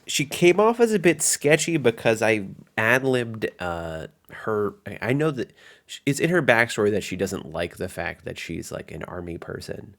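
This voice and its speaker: A man with a fairly low voice talks casually as a tabletop game master. He rambles in a self-deprecating way, restarting his phrases as he goes.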